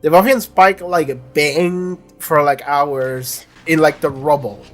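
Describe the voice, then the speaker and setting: A man's voice: a little high, fairly even intonation, slow pace, soft background music. A young man with a congested voice, hosting a casual reaction video and chatting bluntly, with plenty of swearing and laughter.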